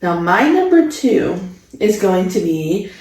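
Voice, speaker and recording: A woman's voice: on the low side, sing-song, slow, a little mellow, a little hiss or hum. A woman of twenty-five chatting casually and enthusiastically as co-host of a vlog. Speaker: vlogger co-host. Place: home studio room, indoors. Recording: echoey room, distant mic.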